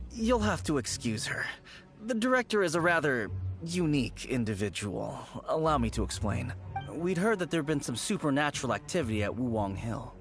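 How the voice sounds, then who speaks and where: An actor playing a polite, earnest, apologetic young undertaker in a video game, explaining things courteously. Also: male voice, mid-range voice, big pitch swings, moderate pace, fairly bright tone. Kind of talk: animated video game voice acting. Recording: game music behind the voice.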